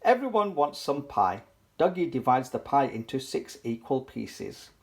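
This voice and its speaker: A children's book reader giving an animated, playful, sing-song read-aloud for toddlers. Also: mid-range voice, measured pace, vocal fry.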